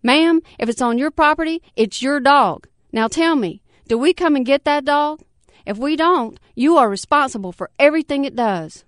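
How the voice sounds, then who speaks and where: Woman putting on a pushy phone official, raised pitch, fast, clean dry read. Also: no room sound, lightly acted. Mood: stern, pushy. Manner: stern, pushy, rapid-fire. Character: pushy male animal control officer on phone.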